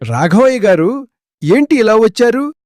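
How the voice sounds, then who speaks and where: A man voicing an excitable village man in a cartoon story. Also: high-pitched, measured pace, slight background noise. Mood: excited.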